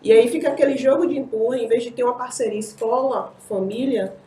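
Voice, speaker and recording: A Brazilian woman on a panel explains her views in a steady, mellow voice, filling pauses with "é" and asking "sabe?". She sits some way off the mic in a roomy, echoing space, with a faint background hum. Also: on the high side. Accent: Brazilian Portuguese. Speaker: panel guest. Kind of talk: panel discussion. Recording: distant mic in echoey room.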